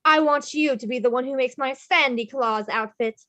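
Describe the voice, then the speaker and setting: Woman playing an enthusiastic, bright, high-voiced skeleton leader. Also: moderate pace. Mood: excited. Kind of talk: live amateur table read of a film script.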